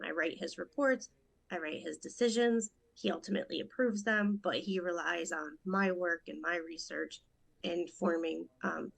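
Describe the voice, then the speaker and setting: A woman's voice: lowish voice, gravelly, slightly rough, low-quality recording. A woman in her thirties from Rochester, New York, a judicial candidate talking earnestly and in detail in an interview. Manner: earnest, detailed, quick in stretches. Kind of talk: casual interview talk.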